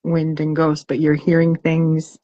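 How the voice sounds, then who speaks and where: A woman's voice: deep voice, monotone, unhurried, no room sound, lo-fi sound. A woman co-hosting a poetry reading, talking casually and expressively as she searches for her words.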